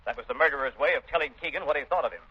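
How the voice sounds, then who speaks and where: An actor playing an irritable, self-assured police commissioner, barking with authority in 1930s radio drama style. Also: male voice, fairly high pitch, very fast, slightly rough. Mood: scornful. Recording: dull old 1930s radio recording.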